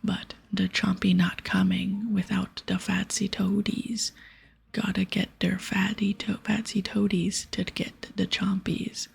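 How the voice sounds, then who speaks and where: A woman voicing a crude, hungry ogre hunter in a breathy whisper, with childlike broken grammar, for an ASMR game playthrough. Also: medium pitch, level tone, a little mellow, full character voice. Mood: pleased, eager. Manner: broken baby-talk grammar, drawn-out words.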